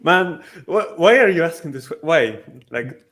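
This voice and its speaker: A man's voice: a little high, lively intonation, measured pace, a bit bright. A man talking casually as a panelist in a football discussion.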